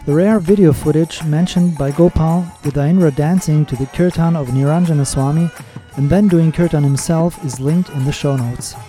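A man hosting a podcast, reading his scripted episode introduction and news in a measured, steady way. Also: a little high, soft background music. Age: adult with children. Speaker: podcast host.

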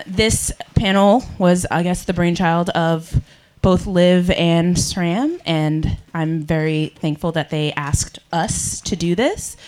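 A woman's voice: on the low side, darkish tone. A woman moderating a panel discussion in front of an audience, speaking earnestly into a handheld microphone with frequent ums. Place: event room with audience.

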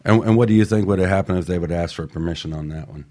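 A man's voice: deep voice, very fast, darkish tone. A man co-hosting a motivational radio talk show, stressing and repeating his key points emphatically.

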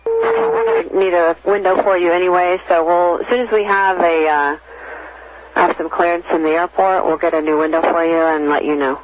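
A slightly high-voiced man speaks as an air traffic controller over a dull, narrow radio channel. He is courteous and explains things as he goes. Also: animated, normal speed. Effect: aviation radio. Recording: muffled aviation radio, faint static.

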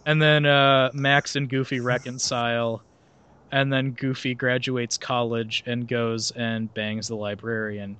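A man's voice: medium pitch, little pitch movement, a little slow, bright, forceful tone, clean tone. A man laughing through a wiki read. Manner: reads aloud with laughs and sarcastic asides. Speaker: podcast host reading a film synopsis. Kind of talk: casual comedic podcast chat.

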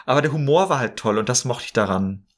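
A man's voice: mid-range voice, animated, quick pace, noisy background. A man chatting casually as a guest, informal and enthusiastic in the way he talks. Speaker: podcast guest. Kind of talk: casual chat about games.

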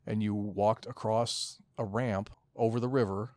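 A man's voice: fairly low pitch, fairly even intonation, a little slow, darkish tone, dry recording, clean studio sound. A man co-hosting a podcast in casual chat, rambling and swearing freely as he goes. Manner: rambling, profane. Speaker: podcast co-host.

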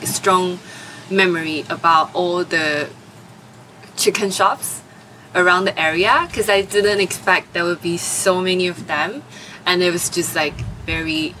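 A woman's voice: on the low side, sharp tone, slight background noise, low-quality recording. A woman in her twenties from Taiwan, answering interview questions hesitantly with many fillers. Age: 20s. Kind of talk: oral history interview.

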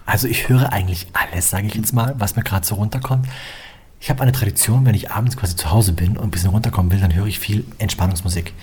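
A young man with a low, very husky voice talks about his musical path in a loose, rambling way. He keeps dropping filler phrases into his sentences. The delivery is relaxed, conversational interview talk. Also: fast. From Germany.

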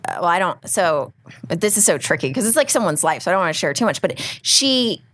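A woman's voice: on the low side, big pitch swings, brisk, fairly bright tone, slight background noise. A woman from Texas telling a personal story in a chatty, laughing way with self-deprecating asides. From Houston, Texas. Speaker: storyteller guest. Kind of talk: casual storytelling chat.

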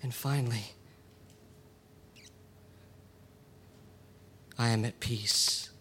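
An actor playing a grieving widower haunted by a vengeful creature, stammering and confiding, then erupting into shouts. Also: male voice, high-pitched, expressive, measured pace, slight background noise, full character voice. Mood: hushed. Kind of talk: radio drama monologue. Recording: music bed behind voice.

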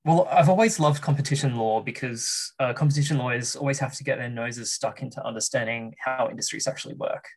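Aussie guy in his 30s, gravelly husky voice, talks things through calmly on a policy podcast. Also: mid-range voice. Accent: Australian. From Australia. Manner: measured, explanatory, with frequent ums and uhs. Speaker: research fellow, podcast guest.

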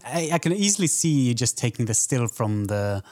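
A man's voice: medium pitch, sing-song, normal speed, darkish tone. A man co-hosting a film podcast in casual discussion, with a thoughtful, hesitant way of phrasing things.

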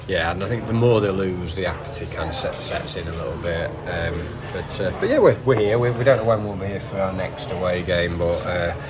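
A man's voice: low-pitched, lively intonation, brisk, hardly any pauses, music in the background. A man co-hosting a casual podcast chat outdoors, rambling and hesitant with frequent restarts. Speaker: podcast co-host. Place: outdoors by a canal before an away match. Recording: busy crowd noise behind. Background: crowd noise.

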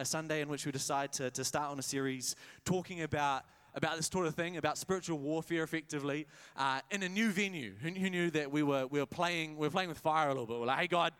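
A young man preaches to his church, full of energy and quick jokes. He keeps restarting his phrases as he goes. His voice sits a little high and comes through smooth and clear. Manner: energetic, many restarts, jokey asides. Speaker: pastor. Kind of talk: sermon. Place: church service in a hired venue.

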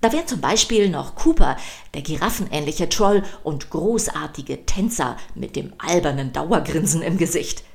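Low-pitched woman narrating for children. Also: lively intonation, normal speed, gravelly. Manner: playful, emphatic storytelling. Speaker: podcast host and narrator.

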